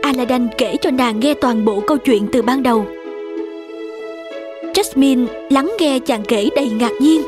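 A woman's voice: sits high, unhurried, music in the background. A woman narrating a children's fairy tale from a script.

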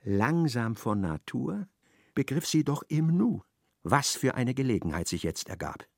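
A man narrating a radio play with expressive, dramatic storytelling. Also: mid-range voice, measured pace, vocal fry, dry recording, clean studio sound.

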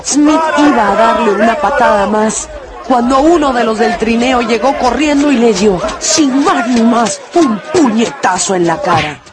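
A man's voice: very high-pitched, animated, a little slow, a loud crowd, very noisy. A man narrating a radio drama as a dramatic storyteller with scripted prose.

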